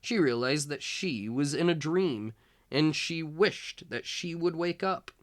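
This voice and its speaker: A man who works as a tutor, reading a children's storybook aloud in an expressive, measured storytelling manner. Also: fairly high pitch, no room sound, clean studio sound.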